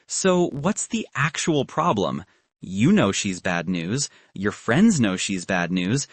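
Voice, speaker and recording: A man's voice: fairly low pitch, very animated, takes it slowly, slightly rough, no echo, pitched below the performer's own voice, heavily acted. A man voicing a young woman physicist in an audiobook, confident and frank, with a dry, advice-giving manner. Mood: frank, serious.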